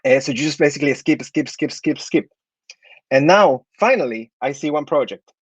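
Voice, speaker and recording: A man's voice: on the high side, lively intonation, normal speed, husky, no echo, highs cut off. A man in his thirties from Chile, an architect giving portfolio tips in an online talk, hesitant, with many fillers and restarts. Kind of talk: online talk with slide review.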